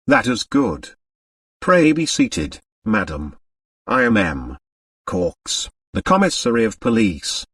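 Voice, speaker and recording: A man's voice: on the low side, wildly swooping pitch, slow pace, fairly bright tone, dry recording, clean studio sound, far from the mic, exaggerated voice. A man voicing a pompous, self-important French police commissary, formal and officiously courteous, in an audiobook.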